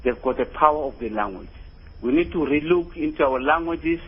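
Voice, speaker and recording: A South African man speaks as a phone-in caller with a rough, husky edge. He presses his opinion earnestly and emphatically, doubling back on his words. The sound is muffled, like radio. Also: a little high, lively intonation, a little fast. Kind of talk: earnest opinion on a call-in show.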